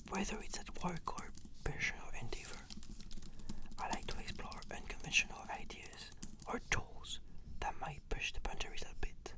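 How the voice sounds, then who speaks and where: A breathy whisper, almost entirely unvoiced, in an ASMR style. The person plays a client giving measured, fluent scripted answers. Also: medium pitch. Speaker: client answering questionnaire in a roleplay.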